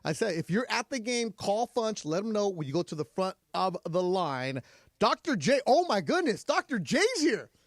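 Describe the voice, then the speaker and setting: A man hosting a postgame radio call-in show who gets loud and high whenever he's fired up. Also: moderate pace, dry recording, studio quality. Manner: excitable, jumps high in pitch when fired up. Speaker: radio sports show host.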